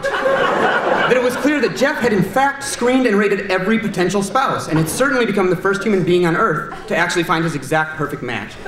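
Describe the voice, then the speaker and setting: A man in his thirties reading a humorous wedding toast from paper in a dry, deadpan way, in a large banquet hall. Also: high voice, noisy background. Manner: dry, deadpan delivery over audience laughter. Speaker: wedding toast giver, friend of the groom. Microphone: handheld mic held low. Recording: roomy hall from a distant camera with crowd. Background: wedding guests laughing.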